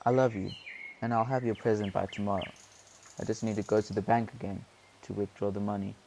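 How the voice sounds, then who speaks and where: Low, creaky, placating husband played by a man. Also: little pitch movement, fairly quick, warm tone, a little hiss or hum. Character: placating husband who forgot Mother's Day gift. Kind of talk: radio drama acting.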